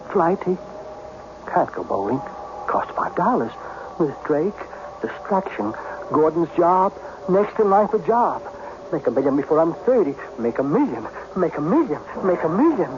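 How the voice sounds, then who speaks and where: Radio-play casting: agitated, hard-headed businessman, higher-voiced and very animated, on a dull, noisy vintage recording. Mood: obsessive, halting. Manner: agitated, halting, intense. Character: frantic, practical businessman, magically made younger. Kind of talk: old-time radio drama acting.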